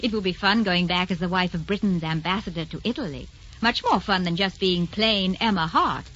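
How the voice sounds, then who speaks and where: An actress playing a gracious, wistful ambassador's wife, refined and poised, in an old radio drama. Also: female voice, lowish voice, a little fast, fairly bright tone. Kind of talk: 1940s radio drama acting. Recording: dull old radio recording.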